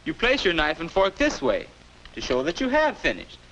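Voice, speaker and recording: A man's voice: on the high side, wildly swooping pitch, normal speed, fairly bright tone, highs cut off. An American actor in his twenties playing a patient, encouraging older self instructing a boy in 1950s educational film style. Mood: instructive. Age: 20s. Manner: instructive, encouraging, neatly spoken. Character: patient, upbeat 21-year-old older self mentoring a boy. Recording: noisy old 1950s film soundtrack.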